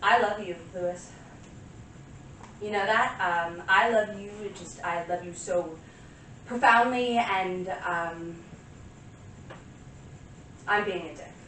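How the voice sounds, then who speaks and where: An actress playing a neurotic, highly strung woman composer in a rambling, halting naturalistic stage monologue. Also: fairly bright tone. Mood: apologetic, anguished. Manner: rambling, exasperated, halting self-interruptions. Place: small live stage venue with audience. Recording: very echoey room, distant mic, faint noise.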